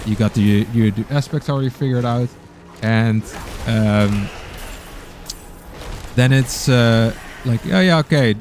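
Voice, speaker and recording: A man's voice: medium pitch, slow pace, a little mellow, slight background noise. A man with a Dutch accent giving casual gameplay commentary as a streamer, rambling and sometimes searching for words. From Netherlands. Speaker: game streamer.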